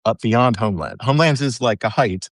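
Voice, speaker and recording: A man hosting a casual interview on a video call, asking relaxed, chatty questions. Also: medium pitch, fairly quick, no room sound, clean studio sound. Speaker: host.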